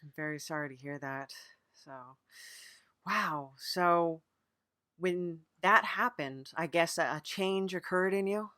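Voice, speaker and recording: A woman hosting a casual improvised comedy interview, asking questions in a hesitant, deadpan way. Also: on the low side, slow, no echo, studio quality. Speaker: podcast host and interviewer.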